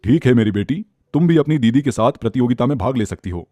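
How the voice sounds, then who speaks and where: A man playing a supportive father of two daughters in a children's story, brisk and encouraging. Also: medium pitch, animated, mellow, dry recording, lightly acted.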